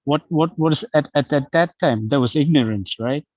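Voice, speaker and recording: A man's voice: on the high side, moderate pace, a little mellow, no echo. This man's voice comes through dull and dry, like lo-fi call audio. He makes his point emphatically, restarting as he goes, in a casual discussion. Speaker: discussion participant.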